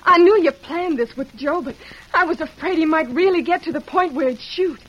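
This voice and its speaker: An earnest, sweet young woman of the frontier, high in pitch. She speaks in the style of an old radio western drama. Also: normal speed, dull audio. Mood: anxious, tender.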